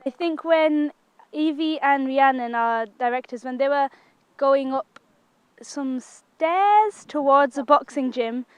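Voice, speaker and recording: 19 y/o girl, high voice, giggly storytelling, dry close sound. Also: slow, no echo, muffled recording. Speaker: interviewee, stage performer. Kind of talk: casual interview chat.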